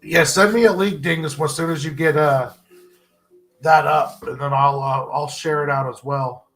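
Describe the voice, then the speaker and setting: A man with a gravelly, creaky voice pitched a little above the usual for men, talking through a stuffed-up, congested nose. He rambles casually as a streamer closing out his show, pausing to clear his throat now and then. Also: fairly even intonation, a bit bright, faint music behind, poor audio. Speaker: comic artist streamer. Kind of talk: casual live-stream sign-off.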